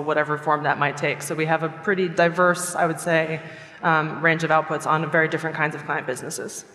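A woman with an American accent speaking as a panelist at a conference, explaining her agency's approach in a brisk, articulate way. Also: lowish voice, fairly bright tone. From United States and France. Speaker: panelist, agency strategy chief. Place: conference hall stage. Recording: echoey hall reverb.

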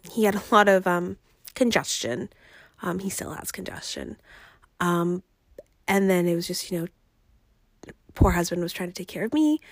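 A young woman podcast host chatting casually and ramblingly, her voice raspy and congested as she recovers from illness. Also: on the low side, no echo, studio quality. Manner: rambling, conversational. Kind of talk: casual personal podcast chat.

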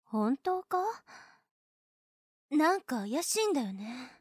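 A woman's voice: high-pitched, very animated, takes it slowly, husky, no echo, clean studio sound, exaggerated voice. An actress playing a young female nurse in an anime-style voice drama, blunt and boyish in phrasing, protective and possessively clingy. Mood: doting, protective. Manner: blunt boyish phrasing, doting toward the patient. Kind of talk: Japanese anime-style situation voice drama acting.

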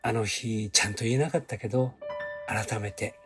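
An actor playing a gentle, apologetic young husband who has died, in an emotional audio drama. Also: male voice, fairly low pitch, steady tone, normal speed, music in the background, lightly acted. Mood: tender, wistful.

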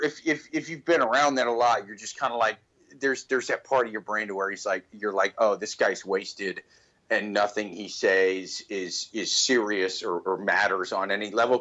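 A man's voice: on the low side, fairly bright tone, highs cut off. A man co-hosting a podcast, stammering and joking through casual chat. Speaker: podcast co-host.

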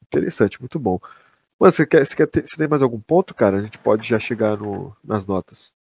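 A man's voice: fairly low pitch, animated, fairly quick, vocal fry, darkish tone, breathy edge, dry recording. A young man with a Brazilian accent co-hosting a podcast in a casual, slangy and sweary way. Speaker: podcast co-host. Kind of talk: casual chat about a sci-fi audio drama.

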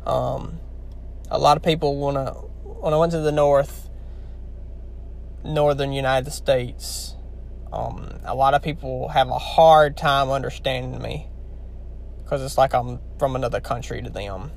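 A man's voice: medium pitch, steady tone, unhurried, creaky, pauses often. A young man from Louisiana with a heavy Southern accent, chatting casually and rambling to his viewers. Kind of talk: casual talk to camera.

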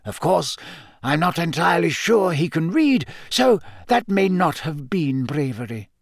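A man performs an elderly wizard headmaster from a storybook. The voice sits high and swoops gently through measured, formal phrases. He is calm and authoritative, with a dry, whimsical wit. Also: dry recording, full character voice. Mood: wry. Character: wise old male wizard headmaster, wry, calm and authoritative.

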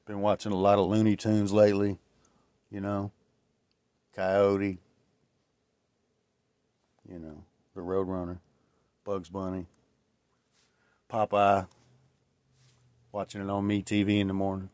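Reflective man in his forties, low dry voice. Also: little pitch movement, slow, pauses often, darkish tone, clean tone, no echo. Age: 40s. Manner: rambling and reflective, many fillers and restarts. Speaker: podcast host. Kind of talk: casual solo podcast monologue.

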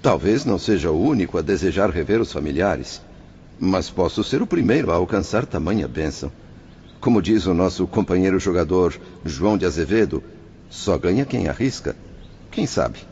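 An actor playing an anxious, ill-tempered former merchant in a radio drama, speaking in pompous, ornate formal phrases. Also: male voice, low voice, animated, normal speed, darkish tone, a little hiss or hum. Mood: defiant. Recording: muffled band-limited audio with music behind.

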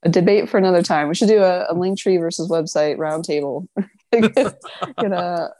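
A woman who owns a PR firm, a guest on a podcast, chatting casually, giggling often and thinking out loud. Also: fairly low pitch, moderate pace, no echo. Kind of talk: casual interview chat.

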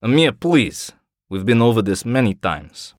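A proud, prickly male colonel, as a storyteller voices him, lifting his voice above the narrator's to throw out sharp, scornful retorts. The sound is dry and close. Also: mid-range voice, sing-song, a little slow, no echo.

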